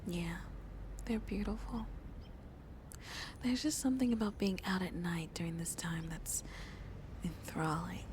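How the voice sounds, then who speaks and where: A woman playing a shy but playfully flirty vampire on a date in an ASMR roleplay, speaking softly and intimately and often slipping into breathy whispers. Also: fairly low pitch, fairly even intonation, measured pace, long pauses, noisy background, lightly acted. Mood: romantic, teasing.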